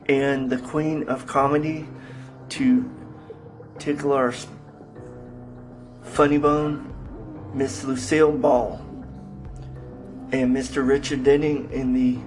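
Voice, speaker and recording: Unhurried male podcast host over faint guitar. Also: mid-range voice, rough recording. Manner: addresses listeners as guys, formal Miss and Mister titles. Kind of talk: casual radio-show presenting. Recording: faint music behind the voice.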